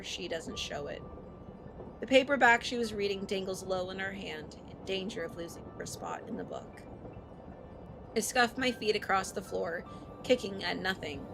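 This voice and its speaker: Woman streamer reading aloud over music. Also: medium pitch. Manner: casual, profane, playful. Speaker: streamer hosting a visual novel read-aloud. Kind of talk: live stream reading aloud with casual asides.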